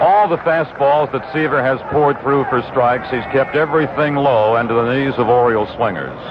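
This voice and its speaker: American guy doing old radio baseball play by play, measured descriptive calls, muffled sound, really loud background noise. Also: mid-range voice. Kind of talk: live radio sports play-by-play. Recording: noisy dull vintage radio broadcast. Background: loud stadium ambience.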